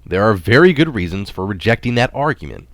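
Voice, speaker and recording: A man reading a scripted health article aloud as narration, in a deliberate, explanatory manner. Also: fairly low pitch, very animated, no room sound.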